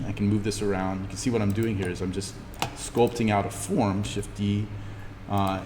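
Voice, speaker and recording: A man teaching an informal in-class software tutorial, thinking aloud haltingly as he demonstrates. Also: lowish voice. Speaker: instructor teaching 3D animation software. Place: classroom, indoors. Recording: echoey room with background noise.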